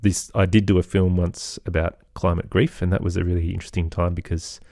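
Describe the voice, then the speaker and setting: An Australian man speaking as an interview guest, thoughtful and halting as he searches for his words in reflective conversation. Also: sits low, little pitch movement, a little mellow, no echo, studio quality. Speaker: guest, composer and children's podcaster.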